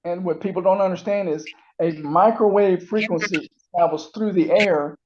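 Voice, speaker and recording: A man in his sixties talks in a fairly high voice through dull, muffled call audio. He is an agreeable interview guest who explains things casually, haltingly restarting as he goes. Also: darkish tone. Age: 60s. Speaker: interview guest giving testimonial. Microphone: remote call.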